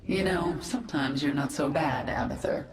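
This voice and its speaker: A woman's voice: sits low, normal speed, gravelly, echoey room, a little hiss or hum, low-quality recording. A woman playing a vengeful warrior queen of an alien swarm in a video game cutscene, dry and commanding. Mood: reflective.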